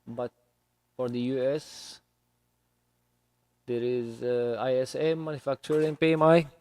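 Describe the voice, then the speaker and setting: A man with English as his third language, live-streaming his trading and talking through it slowly with many fillers at a home desk. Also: medium pitch, little pitch movement, a little mellow. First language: not English. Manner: slow, hesitant, many fillers.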